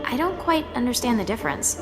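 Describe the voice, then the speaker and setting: A woman's voice: a little high, sing-song, fast. A woman voicing a cheeky, judgmental seventeen-year-old in a game audio drama, questioning and sarcastic. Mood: skeptical. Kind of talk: acted audio drama dialogue and narration. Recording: music behind the voice.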